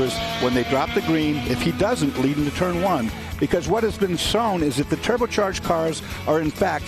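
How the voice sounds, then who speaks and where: Male race analyst, slightly high. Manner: explanatory, builds points step by step.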